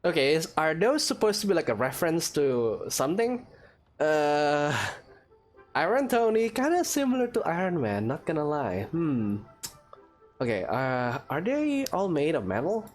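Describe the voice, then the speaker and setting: A woman's voice: deep voice, big pitch swings, normal speed, darkish tone, faint music behind. A woman giving casual, chatty and slightly hesitant commentary while playing a video game. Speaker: gamer narrating a playthrough.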